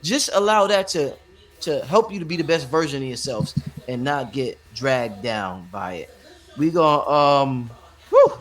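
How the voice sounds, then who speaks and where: A man's voice: mid-range voice, expressive, unhurried. Tired laid-back man hosting a call-in. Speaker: live show host. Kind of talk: casual call-in show.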